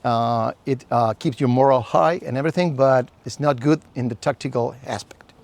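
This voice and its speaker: A man's voice: medium pitch, normal speed, gravelly, darkish tone. A man from Chile with a Chilean Spanish accent, Spanish his first language, teaching a survival class at an outdoor campsite, earnest and sometimes searching for words. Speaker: survival instructor.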